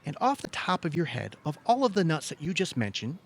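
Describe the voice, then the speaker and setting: An actor playing an obsessive, aggrieved younger brother in a comedic audio play, exasperated and insistent. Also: male voice, a little high, very animated, fairly quick. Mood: insistent, agitated. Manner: exasperated, insistent, leading questions like a cross-examination. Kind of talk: comedic audio theater dialogue.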